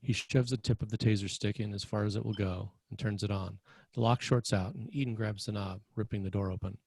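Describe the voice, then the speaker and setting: Low, husky male script narrator. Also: fairly even intonation, a little fast, a little mellow. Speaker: narrator reading stage directions. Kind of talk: scripted narration for a screenplay table read.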